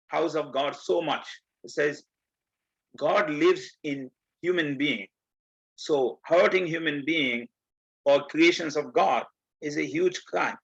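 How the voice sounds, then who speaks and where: A man from Bangladesh giving a community presentation, explaining step by step and earnestly, with many pauses. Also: on the high side, gravelly, a bit bright, husky. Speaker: guest speaker. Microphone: video call. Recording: muffled video call audio.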